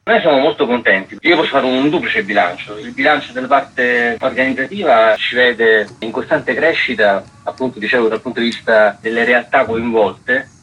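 Male festival director, raspy yet bright, explaining in a radio interview. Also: mid-range voice, normal speed, hardly any pauses, rough recording. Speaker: theatre festival artistic director.

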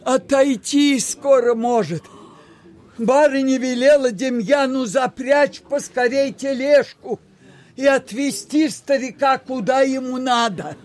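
Peasant servant woman, slow folksy speech, anxious, deferential, radio play. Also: sits high, a little mellow. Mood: alarmed.

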